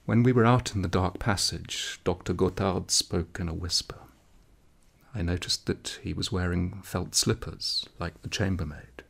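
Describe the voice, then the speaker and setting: A man's voice: sits low, fairly even intonation, unhurried, gravelly, husky. A man reading a literary story aloud in a dramatic manner. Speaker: audiobook reader.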